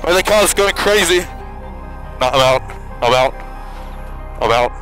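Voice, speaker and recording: A man's voice: medium pitch, animated, a little slow, a bit bright. A man, a racing driver, making urgent, clipped reports over team radio. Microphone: helmet radio mic. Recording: team radio with music and engine noise. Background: music, car noise.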